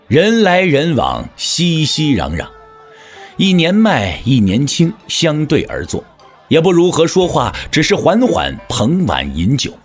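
A man narrating an audio drama as scripted storytelling, in a measured, theatrical manner. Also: fairly high pitch, huge pitch swings, slightly rough, faint music behind.

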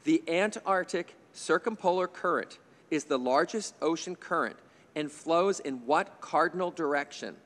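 Casting: man in his 50s, slightly high voice, quiz moderator, reads questions briskly with playful banter; long hall reverb. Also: a bit bright. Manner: chatty, jokey asides, chuckles at his own remarks. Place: indoor TV studio stage. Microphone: desk microphone on a stand.

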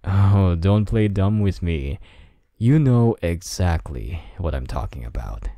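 Deep, gravelly, slow-talking man. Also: expressive, no room sound. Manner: soft, intimate and teasing, with low whispers. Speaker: voice actor in a roleplay audio.

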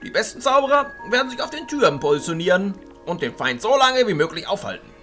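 A man's voice: big pitch swings, fast talker, faint music behind. A man voicing a commanding, bearded old wizard archchancellor in a fantasy audio drama, speaking in an emphatic, declamatory way. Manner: declamatory, emphatic, swinging between hushed and booming.

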